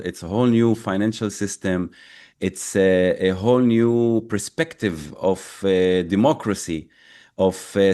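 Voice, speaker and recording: A man who is a native Arabic speaker, answering interview questions as a crypto educator, haltingly searching for words. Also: on the low side, a little mellow. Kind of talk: interview answers, personal story.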